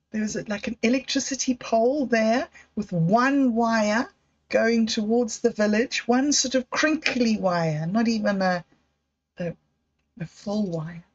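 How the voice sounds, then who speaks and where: A middle-aged woman hosting a livestream, telling personal stories in a slow, thoughtful, reflective way. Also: a little high. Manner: slow, thoughtful storytelling with emotional quotes. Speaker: livestream host. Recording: dull lo-fi livestream audio.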